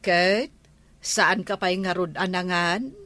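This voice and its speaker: A woman's voice: fairly low pitch, slow, fairly bright tone, dry recording. A woman with an Ilocano accent playing a nagging village wife in a comedy drama. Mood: questioning.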